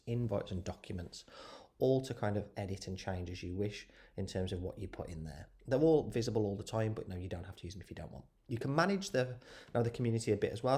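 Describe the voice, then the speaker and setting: A man with a head cold and a slightly nasal voice, walking through software on screen in a relaxed way and often correcting himself. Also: lowish voice. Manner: relaxed, self-correcting, lots of fillers. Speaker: software tutorial presenter. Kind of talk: screen-share software walkthrough.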